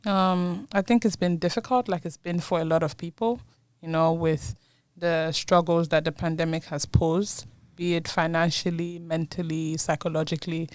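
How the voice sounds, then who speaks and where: A woman's voice: fairly low pitch, little pitch movement, normal speed, no room sound. A young woman in her twenties from Nigeria, speaking Yoruba natively, talking as a guest in a casual interview and laughing often between phrases. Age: 20s. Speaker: guest, university student.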